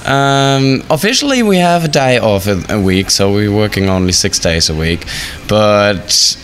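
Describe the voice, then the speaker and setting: A man's voice: fairly low pitch, animated, fairly bright tone. A man, a hotel's chief entertainer, giving a chatty, easygoing interview in a hot hotel bar. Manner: chatty, easygoing, quick to laugh. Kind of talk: interview answers.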